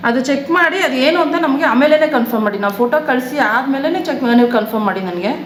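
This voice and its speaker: Female, rapid and deadpan phone agent who keeps pressing the point; echoey sound. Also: sits high. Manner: insistent, deadpan, repeats herself. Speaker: prank caller posing as car service staff. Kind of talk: prank phone call, improvised.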